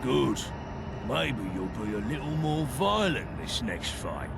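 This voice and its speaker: A man's voice: medium pitch, big pitch swings, unhurried, creaky, very noisy. A man playing an insecure, earnest young brawler in a video game, speaking plainly and hesitantly, stumbling over his words. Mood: gruff, eager. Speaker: video game companion character. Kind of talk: game voice acting, halting heartfelt dialogue.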